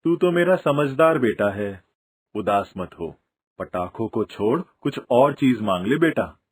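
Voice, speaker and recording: An actor playing a wily, grumbling but soft-hearted village father in a cartoon moral story, argumentative and wry. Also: medium pitch, expressive, a little slow, slightly rough. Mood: soothing, resigned.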